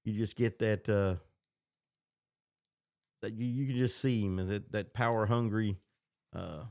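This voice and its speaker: A man's voice: lowish voice, steady tone, measured pace, creaky, darkish tone. A man co-hosting a podcast in casual chat, playful and often repeating himself as he thinks. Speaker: podcast co-host. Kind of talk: casual chat about books and shows.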